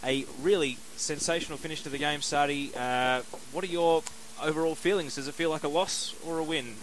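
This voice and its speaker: A man's voice: on the high side, steady tone, a little fast, a little hiss or hum, poor audio. A man, interviewing players and coaches for community radio in an upbeat, chatty way.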